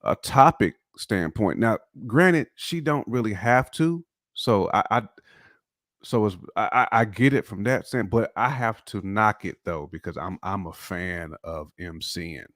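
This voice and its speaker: A man with a lowish voice talks loosely about music, hedging and restarting his thoughts. His sound is dry and close to the mic, with no room around it. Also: a little slow, a bit bright, no echo. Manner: laid-back, hedging with fillers and restarts. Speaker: podcast co-host. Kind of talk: casual opinion chat.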